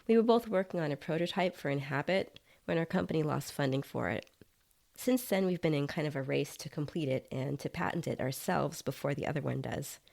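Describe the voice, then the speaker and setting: Casual tech inventor in a body-swap audio story, female reader, low and gravelly, explaining matter-of-factly. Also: fairly quick, a little mellow. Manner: nonchalant, chatty, explanatory. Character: nonchalant male app developer inhabiting another's body.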